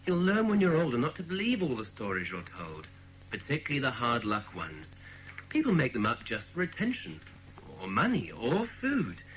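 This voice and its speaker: A man playing a sceptical, patient but condescending British father in a children's audiobook drama, speaking in a measured way. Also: medium pitch, wildly swooping pitch, fairly bright tone, low-quality recording. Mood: dismissive.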